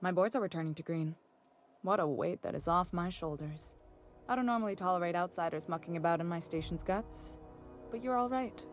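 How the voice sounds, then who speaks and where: An actress playing a weary, exacting middle-aged chief engineer of a space station in a video game, composed, dry and formal. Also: female voice, fairly low pitch, a little fast, soft background music, natural voice. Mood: relieved. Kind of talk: video game dialogue acting.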